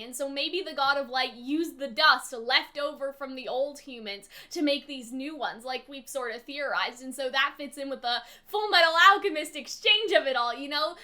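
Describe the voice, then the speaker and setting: A woman's voice: sits high, pushed and bright. A woman hosting a casual fan discussion, talking informally and animatedly and often checking that the listener agrees. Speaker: online video host analysing animated series lore.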